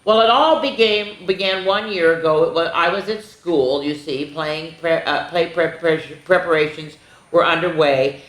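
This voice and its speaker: A woman voicing a proud, excitable turkey who tells its tale in dramatic picture-book rhyme. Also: low-pitched, normal speed, natural voice. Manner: boastful, dramatic rhyming storytelling. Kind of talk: rhyming picture-book read-aloud. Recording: roomy, echoing room sound.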